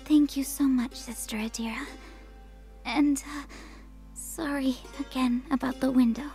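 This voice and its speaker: Lady doing a timid worried little girl in a visual novel, high soft breathy voice, super hesitant n polite, slow. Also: fairly even intonation, soft background music. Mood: grateful, sheepish. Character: timid, troubled young girl, polite and uncertain. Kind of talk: visual novel dialogue read aloud.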